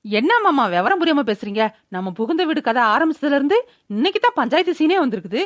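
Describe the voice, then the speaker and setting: A woman's voice: high voice, very animated, fast talker, no room sound. A woman voicing a cheeky, theatrical young village woman in a comedy cartoon, with speech and a sassy manner. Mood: smug, teasing.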